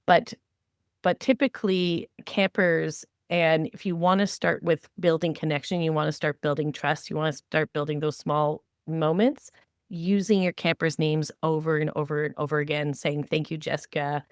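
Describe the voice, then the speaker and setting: A woman's voice: low voice, fairly even intonation, moderate pace, no room sound, clean studio sound. A woman co-hosting a podcast, giving conversational advice with emphatic, repeated phrasing. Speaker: podcast co-host. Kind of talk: conversational advice on camp staff training.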